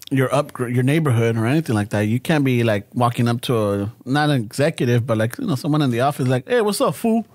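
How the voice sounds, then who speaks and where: A man's voice: medium pitch, expressive, fast talker, few pauses, a little mellow, no room sound, studio quality. A man co-hosting a casual podcast chat, rambling through his points and sprinkling Spanish words into his English. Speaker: podcast co-host.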